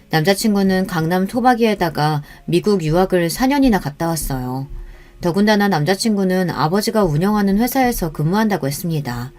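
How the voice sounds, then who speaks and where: A woman reading a first-person story aloud in a calm, measured, confessional way. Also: on the low side. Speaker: story narrator.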